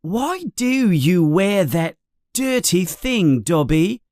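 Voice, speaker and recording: A woman's voice: fairly low pitch, very animated, takes it slowly, background noise, voice pushed up. A woman voicing a young boy wizard who speaks earnestly and warily. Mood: wary. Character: young boy wizard, brave but wary.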